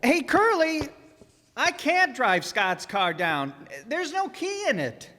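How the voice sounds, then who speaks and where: Male actor as dim wisecracking pal, very high swooping voice, old-time radio comedy, noisy hall. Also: sing-song, slow, bright, forceful tone, heavily acted. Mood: clueless, excited. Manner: blithe wisecracking, folksy slangy talk. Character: dim, unbothered wisecracking sidekick, blithely irresponsible pal. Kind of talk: 1940s radio sitcom acting. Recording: reverberant hall, noisy stage recording.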